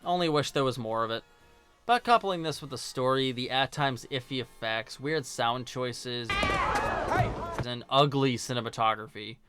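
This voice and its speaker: A man's voice: mid-range voice, normal speed, over loud music. A man giving scripted video-essay commentary in a sarcastic, informal, joking manner. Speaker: video reviewer and host.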